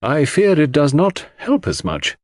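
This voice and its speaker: Man playing a formal, probing coroner, raised pitch. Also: huge pitch swings, darkish tone, no echo, clean studio sound, heavily acted. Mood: uneasy. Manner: formal, measured questioning. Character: probing, courteous male coroner, stern and official. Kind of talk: audiobook character dialogue.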